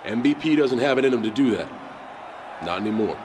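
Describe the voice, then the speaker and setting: An actor playing a dismissive, cocky pro wrestler in game cutscene dialogue. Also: male voice, medium pitch, expressive, fairly quick, a crowd in the background, noisy background, natural voice.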